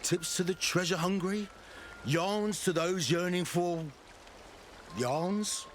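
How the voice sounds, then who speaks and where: An actor playing a cocky, fast-talking male treasure hunter in a video game, boastful and cheeky like a salesman. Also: sits high, a little hiss or hum. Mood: hopeful, cheeky. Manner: boastful, wheedling salesman patter. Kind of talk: video game character acting.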